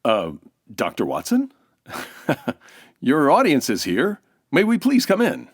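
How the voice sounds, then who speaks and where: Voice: mid-range voice, huge pitch swings, slow, full character voice. An actor playing a polite, flustered announcer in an old-time radio comedy, with a courteous, hesitant way of talking. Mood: hesitant, puzzled. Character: polite, flustered radio show announcer visiting Watson's house.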